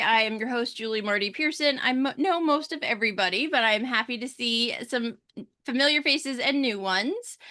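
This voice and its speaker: A woman hosting a workshop on a video call, her voice bright and on the high side. She stays cheerful and upbeat and laughs easily between her lines. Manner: cheerful, quick, laughs often. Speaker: host of a podcasting workshop.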